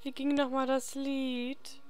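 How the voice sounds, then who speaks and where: A woman's voice: high-pitched, flat delivery, slow, darkish tone. A young woman doing casual, playful let's play commentary, bantering in a silly, giggly way. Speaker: let's player. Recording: game music behind the voice. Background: video game music.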